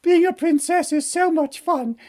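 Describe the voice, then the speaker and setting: A man's voice: very high-pitched, measured pace, darkish tone, pitched above the performer's own voice. A man voicing a spoiled, gushing young princess in a comic fairy tale, with a singsong, whiny manner. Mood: sweet, then mocking.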